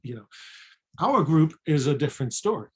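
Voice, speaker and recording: A middle-aged speaker with a German accent talks in a frank, reflective way. The voice is distinctly husky and rough-edged, in an informal conversational style. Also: mid-range voice, big pitch swings, moderate pace. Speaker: physician and co-author, guest. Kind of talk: casual chat.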